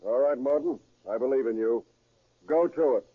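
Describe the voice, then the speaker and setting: Actor as a husky, measured police chief. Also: male voice, mid-range voice, close to an everyday voice. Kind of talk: 1930s radio crime drama acting. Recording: tinny 1930s radio recording.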